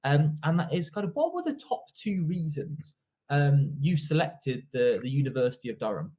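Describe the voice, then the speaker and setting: A man's voice: a little high, very animated, moderate pace, dark tone. A young man hosting an online interview in a polite, formal, effusive way. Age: young adult. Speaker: interviewer, host. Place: indoor office, video call.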